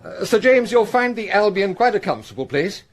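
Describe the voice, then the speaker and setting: Formal, animated senior policeman. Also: high-pitched, fairly quick. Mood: formal, orderly. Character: courteous senior police commissaire giving orders. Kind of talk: radio crime drama acting.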